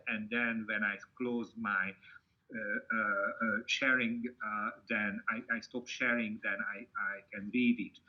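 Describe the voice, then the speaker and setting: A man from Hungary with a Hungarian accent, giving an online seminar presentation with frequent hesitant fillers over a video call. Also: fairly low pitch. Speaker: guest speaker, lecturer. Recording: dull lo-fi video call audio.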